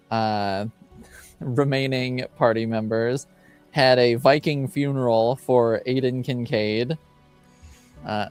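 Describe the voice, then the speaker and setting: A man acting as game master, giving a casual recap of a tabletop game in halting phrases with frequent pauses. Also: medium pitch, clear, resonant tone. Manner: halting, pausing often between phrases.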